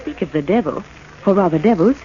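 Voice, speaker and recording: An actress playing a sharp-witted, skeptical society woman in a 1940s radio drama, with a wry, pointed delivery. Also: lowish voice, animated, brisk, close to an everyday voice. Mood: concerned. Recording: muffled, very noisy 1940s radio recording.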